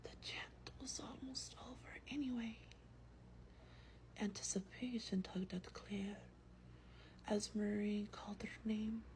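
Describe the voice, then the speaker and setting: A woman with a breathy whisper reads a story aloud in a slow, hushed, gentle ASMR style, as a vampire host close to the microphone. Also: medium pitch, low-quality recording. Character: gentle female vampire host reading a bedtime book. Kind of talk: soft-spoken ASMR roleplay and book reading. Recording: faint background noise.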